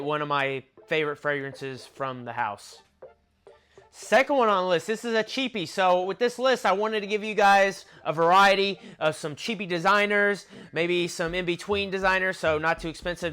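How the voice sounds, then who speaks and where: A man's voice: on the high side, smooth. Nasal, chatty young man. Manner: chatty, conversational, lists notes in a relaxed flow. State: nasal from allergies. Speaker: fragrance reviewer. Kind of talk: casual vlog review.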